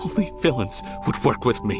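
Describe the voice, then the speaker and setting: A man's voice: medium pitch, very animated, fairly quick, over music, close to an everyday voice. A man voicing a bitter, desperate criminal in a video game, dramatic and sardonic with theatrical self-pity. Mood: wounded, bitter.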